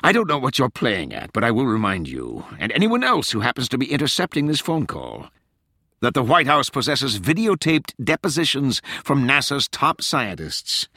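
A man voicing a domineering female senior presidential advisor, raspy, stern and cutting, in a dramatized audiobook. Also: mid-range voice, sharp tone, no echo, studio quality, pitched above the performer's own voice, heavily acted.

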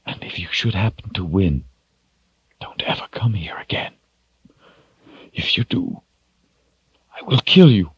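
A man's voice: lowish voice, takes it slowly, a little mellow, husky, no room sound, dull audio, voice pushed up. A man voicing a commanding sorceress, grave and full of sorrow, in a fantasy audiobook. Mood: broken, threatening.

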